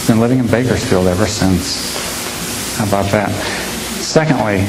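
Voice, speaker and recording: A man in his fifties giving a humorous speech to a live audience, telling stories with timed pauses and punchlines. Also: fairly low pitch. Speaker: humorist speaker. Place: large hall with an audience. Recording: echoey hall recording with hiss.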